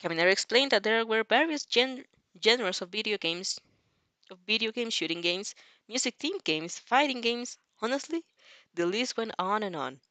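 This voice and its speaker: A woman's voice: a little high, expressive, moderate pace, pushed and bright, no room sound. A woman reading fan fiction expressively and informally, stumbling over and repeating phrases, with casual reactions of her own.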